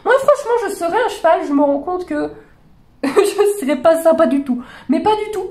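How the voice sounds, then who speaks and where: A woman in her forties with a fairly high, slightly hoarse voice talks straight into a desk webcam in a small bedroom. Her delivery is exasperated and incredulous, full of fillers and restarts. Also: lively intonation, normal speed, darkish tone. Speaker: horse channel host reacting to videos. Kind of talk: casual reaction commentary. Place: small bedroom with gaming chair.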